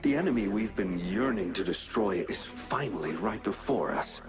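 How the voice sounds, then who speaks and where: An actor playing a brooding, obsessive general in a video game, speaking in weighty, halting phrases. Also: male voice, lowish voice, lively intonation, music in the background, close to an everyday voice. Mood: brooding, bitter. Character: brooding, obsessive general bitter toward his enemy. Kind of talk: dramatic scripted game dialogue.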